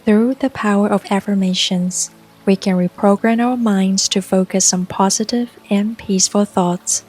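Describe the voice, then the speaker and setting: A woman narrating a scripted guided meditation in a calm, soothing, unhurried way, with long pauses between phrases. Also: mid-range voice. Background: soft music and rain sounds.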